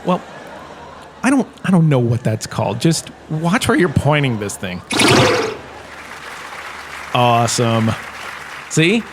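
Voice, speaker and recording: An actor playing a washed-up former star turned lecturer, giving a breezy, joking lecture in a comic audio drama. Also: male voice, mid-range voice, very animated, slow, pauses often, crowd noise, background noise. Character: washed-up former star, now a lecturer, chatty and self-important.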